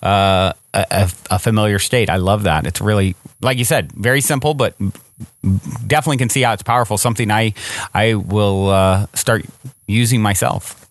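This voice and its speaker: A man's voice: on the low side, steady tone, normal speed, no echo. A man in his forties hosting a podcast, chatting in an eager, casual way, full of fillers and restarts. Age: 40s. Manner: enthusiastic, with many fillers and restarts. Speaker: podcast host. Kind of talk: casual interview chat.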